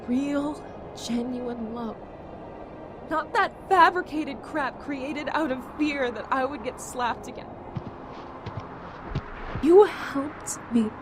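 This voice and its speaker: A woman playing a fierce, stubborn yet tender young survivor in an emotional audio drama, speaking with earnest, emphatic pleading. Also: sits high. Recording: dramatic music behind the voice.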